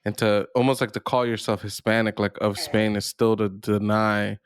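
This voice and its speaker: Guy on a podcast, low crisp voice, thinks out loud, halting and casual. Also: flat delivery, no echo, clean studio sound. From United States. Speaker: podcast host.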